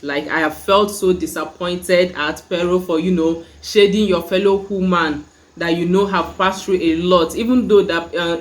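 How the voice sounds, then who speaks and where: A young Nigerian woman with a Nigerian accent, chatting casually in a review vlog, full of "like" and "you know" fillers. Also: fairly low pitch. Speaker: YouTube reviewer.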